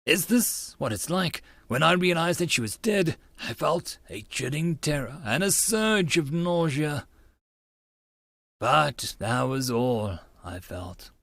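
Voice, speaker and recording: A man voicing a shaken young man in a visual novel, with dramatic, halting, emphatic delivery. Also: on the high side, expressive, vocal fry, fairly bright tone, dry recording, heavily acted. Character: young anime man, panicked, melodramatic student narrator.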